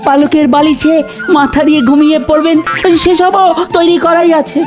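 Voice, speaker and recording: A soothing cartoon palace maid, played by a woman, with a very high voice that stays flat in pitch. She is deferential, and music plays clearly behind her. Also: normal speed, close to an everyday voice. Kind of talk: cartoon voice acting.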